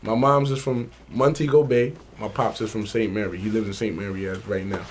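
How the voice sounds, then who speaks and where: A man's voice: lowish voice, fairly even intonation, brisk, slight background noise. A man from Bushwick who is a reggae MC, talking casually in an interview with a boastful, streetwise manner that repeats his points. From Bushwick, New York. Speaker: reggae MC for a sound system.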